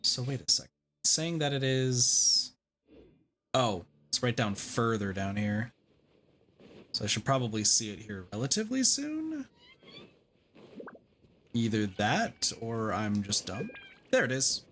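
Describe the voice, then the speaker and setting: A man's voice: mid-range voice, sing-song, slow, pauses often, fairly bright tone, a little hiss or hum. A man giving casual livestream commentary on a game, muttering to himself and swearing mildly. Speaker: game streamer.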